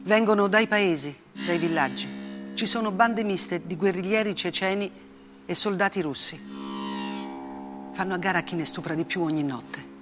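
Actress playing a woman war reporter, grave and unflinching, theatrical monologue, clipped deliberate phrases, plucked-string music underneath. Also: fairly low pitch, close to an everyday voice. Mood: grim, indignant. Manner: deliberate short phrases with dramatic pauses. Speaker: stage actress. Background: plucked guitar-like music.

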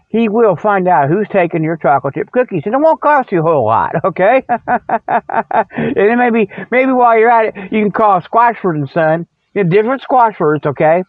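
A man's voice: high-pitched, animated, normal speed, no echo. Folksy middle-aged man, tinny phone-like sound. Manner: folksy, repetitive, often checks with "okay". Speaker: radio show host. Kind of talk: rambling comedic monologue with spoof ads. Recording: muffled, phone-like radio sound.

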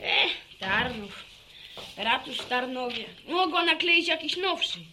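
A woman's voice: sits high, animated, unhurried, noisy background. A female performer playing a keen, opinionated young village boy in a radio drama. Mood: keen, pedantic. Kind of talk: Polish radio serial acting.

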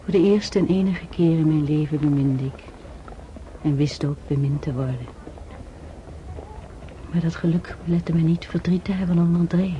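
An actress playing an earnest, tender young noblewoman in a radio play, speaking in a measured, formal, softly emotional way. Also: low-pitched, dull audio, a little hiss or hum, natural voice. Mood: reflective, tender. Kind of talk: Dutch radio play acting and first-person narration.

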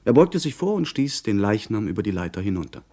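An actor playing an earnest, literate young gentleman who narrates his pirate adventure in a measured, articulate way in a radio play. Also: fairly low pitch, very animated. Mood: earnest recounting.

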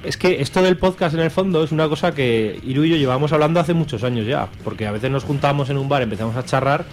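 A man's voice: medium pitch, quick pace, faint music behind. A man with an Aragonese Spanish accent chatting casually as a podcaster, irreverent, slangy and foul-mouthed. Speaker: podcaster and radio show regular. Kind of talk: casual chat.

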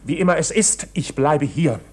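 An actor playing a tense, stubborn young nephew in a radio drama, controlled, terse and brooding. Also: male voice, a little high, animated, normal speed, darkish tone. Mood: brooding, defiant. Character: tense, stubborn bank clerk nephew under accusation.

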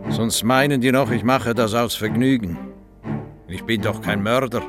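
A man's voice: on the low side, normal speed, over music, natural voice. An actor playing a hard, vengeful man with a blunt, curt manner in a radio drama. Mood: defensive, matter-of-fact.

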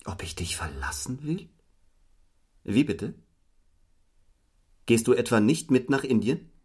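An actor in a German radio play, playing a cold, pious clergyman who moralizes and reproaches, moving between hushed and vehement delivery. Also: mid-range voice, very animated, slow pace, slightly rough, full character voice. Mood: cool, controlled. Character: stern, pious, unforgiving clergyman cousin.

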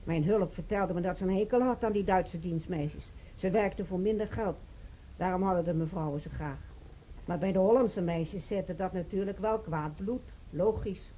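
A woman's voice: fairly low pitch, moderate pace, mellow, slight background noise, natural voice, rough recording. An actress playing a gossipy, disapproving woman patient in a radio play, opinionated and matter-of-fact in her delivery. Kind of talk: naturalistic radio drama dialogue.

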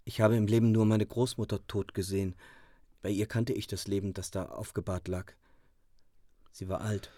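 An actor in a German radio drama playing a guilt-ridden, pleading air traffic controller, intense and anguished. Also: male voice, fairly low pitch, level tone, moderate pace, warm tone, no echo, clean studio sound, lightly acted.

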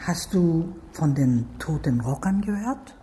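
A woman's voice: low voice, lively intonation, a little slow, mellow, slight background noise. An actress playing a middle-aged, weary and guarded mother who runs a takeaway, speaking in short emphatic phrases in a radio crime drama. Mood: guarded, warning. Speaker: actor.

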